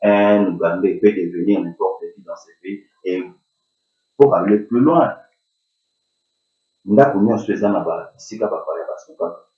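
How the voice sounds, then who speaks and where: A man's voice: sits low, moderate pace, pauses often. A man with a Congolese accent speaking as a panel speaker in a public debate, hesitant and full of restarts. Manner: switches between French and Lingala, hesitant, many restarts. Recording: roomy, distant, dull lo-fi sound.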